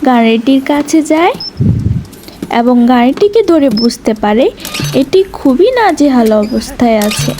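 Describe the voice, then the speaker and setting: A woman's voice: high voice, animated, measured pace, noisy background. A woman reading scripted narration for a cartoon story as its storyteller.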